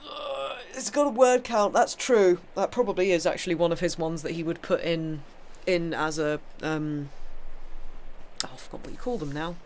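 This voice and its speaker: A woman's voice: fairly low pitch, normal speed, a little mellow. Woman live-stream host, noisy recording. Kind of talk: expressive literary reading aloud; casual live-stream chat.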